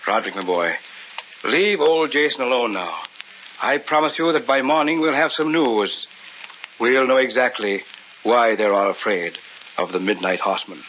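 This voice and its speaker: A man's voice: medium pitch, normal speed, a bit bright, a little hiss or hum, close to an everyday voice. An actor playing a confident, patronizing scholarly man obsessed with the occult in an old-time radio drama. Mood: assured, amused. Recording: muffled old radio recording.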